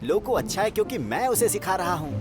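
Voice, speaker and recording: An actor voicing a mischievous, proud young diesel train engine in a cartoon, animated and chummy. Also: mid-range voice, fast talker, over music, natural voice. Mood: boastful. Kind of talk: cartoon dub acting.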